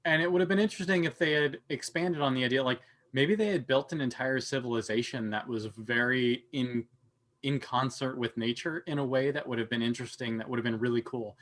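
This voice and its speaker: A man's voice: mid-range voice, brisk, fairly bright tone. A man co-hosting a casual panel discussion with a wry, jokey, opinionated way of talking. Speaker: podcast co-host.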